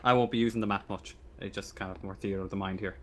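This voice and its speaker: A man acting as game master, improvising narration for a tabletop roleplaying game in halting, repeated phrases. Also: sits low, fairly even intonation, crowd noise.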